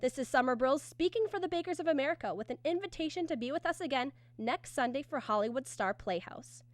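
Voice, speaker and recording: A woman's voice: high voice, expressive, fast. A woman announcer reading scripted credits in a 1950s radio style.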